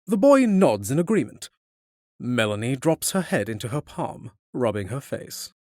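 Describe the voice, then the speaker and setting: A man's voice: medium pitch, very animated, moderate pace, darkish tone, no room sound, studio quality. A man reading scripted narration for a fantasy audio drama.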